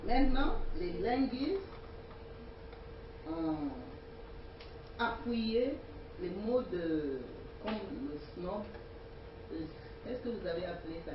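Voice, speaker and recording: A student whose first language is Yoruba, speaking slowly and deliberately in a classroom, pausing between words. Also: medium pitch, lively intonation, lo-fi sound. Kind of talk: classroom question and discussion. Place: university lecture room. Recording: roomy, distant, noisy classroom audio.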